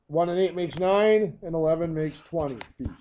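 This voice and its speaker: A hoarse, coughing man teaching a math lesson, walking through each step patiently. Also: a little high, normal speed, lo-fi sound, slight background noise.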